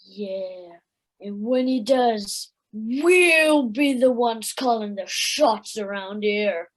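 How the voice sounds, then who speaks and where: A dopey, eager weasel sidekick acted by a woman, high and swooping. The delivery is slow and naive, with childish boasting, as in an audio play for children. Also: lively intonation. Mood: eager, boastful. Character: dim, eager, literal-minded weasel henchman. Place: living room at home, recorded over video call.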